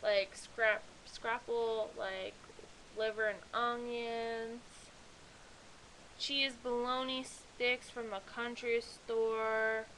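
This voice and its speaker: A woman's voice: a little high, little pitch movement, very slow, a bit bright, rough recording. A young woman from Pennsylvania with a Pennsylvania accent, chatting casually as a vlogger and reading a list aloud with lots of "like" fillers. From Pennsylvania, USA. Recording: faint hiss.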